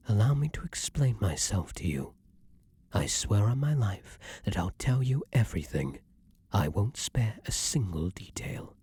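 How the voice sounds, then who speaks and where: A man playing an imperious, lovesick vampire king in an intimate ASMR fantasy roleplay, speaking formally and theatrically with breathy, dramatic pauses. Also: low-pitched, warm tone, no room sound, heavily acted. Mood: anxious pleading. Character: ardent, imperious male vampire king, lovesick then menacing.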